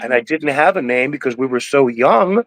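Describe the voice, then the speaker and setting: A man's voice: mid-range voice, expressive, normal speed, no room sound, low-quality recording. Guy in his sixties rambling on a podcast, stops and restarts a lot, dead dry mic. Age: 60s. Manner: rambling, preachy, lots of restarts. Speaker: podcast guest, cannabis breeder. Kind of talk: casual interview talk.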